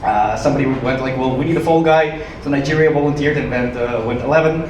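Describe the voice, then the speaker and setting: A man from Ukraine performing stand-up comedy to a live audience with a dry, joking delivery and hesitant pauses. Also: mid-range voice. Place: small comedy club stage, live audience. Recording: roomy, noisy club recording from a distance.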